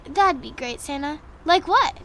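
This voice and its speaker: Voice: sits high, expressive, measured pace, pushed and bright, a little hiss or hum, full character voice. A performer playing an earnest, naive, talkative little boy in a family audio drama, eager and guileless. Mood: curious, hopeful. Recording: prominent music behind the voice.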